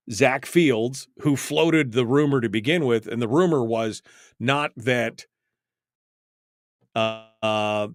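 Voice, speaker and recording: A man presents freeform talk radio with an emphatic, easily agitated delivery. His voice sits at an average pitch and rambles in a self-mocking way. The sound is close and dry, as from a studio microphone. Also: no room sound. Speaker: radio call-in show host.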